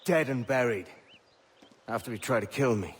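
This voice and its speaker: A man's voice: medium pitch, animated, normal speed, husky. A man playing a roguish, money-minded young pirate captain in a video game, flippant, colloquial and swaggering. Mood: frank, wary. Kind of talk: dramatic video game acting.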